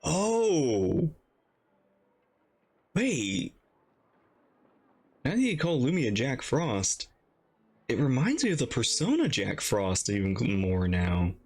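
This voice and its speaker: A woman's voice: very deep voice, wildly swooping pitch, slow pace, long pauses, a little mellow, slightly rough. A woman streamer giving chatty, playful, casual live reaction commentary while playing a game.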